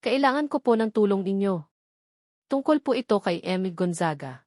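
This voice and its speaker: A woman's voice: mid-range voice, animated, moderate pace, a little mellow, no room sound, clean studio sound, close to an everyday voice. A woman narrator voicing a resolute young male doctor who speaks formally and respectfully, in an audio story. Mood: eerily calm.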